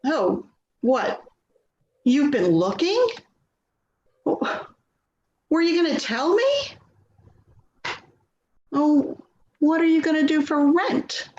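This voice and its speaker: A woman's voice: high-pitched, lively intonation, very slow, pauses often, darkish tone, heavily acted. A woman acting an anxious, self-doubting grown daughter in a play reading, speaking in a placating, hesitant way. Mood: hurt surprise. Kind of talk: dramatic play reading, naturalistic dialogue. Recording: echoey, dull, noisy remote recording.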